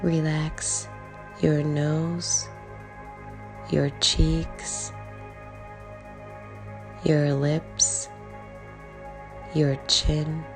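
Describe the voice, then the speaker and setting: Female meditation guide: lowish, soft voice, calm and unhurried, short phrases, gentle music underneath. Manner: calm, soothing, short phrases with long pauses. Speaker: meditation guide, life coach. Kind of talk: guided body scan meditation. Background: background music.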